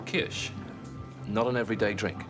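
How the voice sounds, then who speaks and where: An actor playing a guarded, dryly wry former intelligence agent in a British TV drama. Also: male voice, lowish voice, steady tone, moderate pace, close to an everyday voice. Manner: dry, guarded, wry questions. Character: dry wary male ex-spy, back from holiday. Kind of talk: 1980s British TV drama acting. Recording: TV soundtrack with music underneath.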